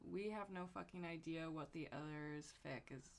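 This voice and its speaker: A woman's voice: low voice, normal speed, creaky. A woman co-hosting a comedy podcast, chatting casually and reading aloud in a giggly, irreverent, sweary way. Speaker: podcast co-host. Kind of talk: casual comedic chat and fan fiction reading.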